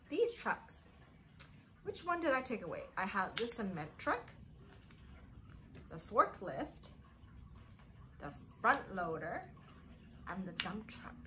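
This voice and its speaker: Woman, children's storytime host, high-pitched, slow and deliberate, encouraging, sing-song. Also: bright, forceful tone, slight background noise. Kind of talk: interactive guessing game for young children.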